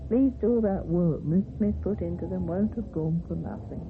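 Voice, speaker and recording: A woman's voice: on the low side, normal speed, natural voice. An actress playing a plain-spoken, well-meaning mother in a radio drama. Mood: puzzled, earnest. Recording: noisy, muffled old radio recording.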